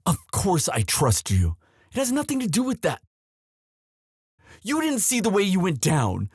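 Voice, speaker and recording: A man playing an anxious, protective young prince in love, speaking earnestly and haltingly in a romantic roleplay audio drama. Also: high voice, wildly swooping pitch, darkish tone, husky, dry recording, studio quality, full character voice. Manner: earnest, halting, tender delivery.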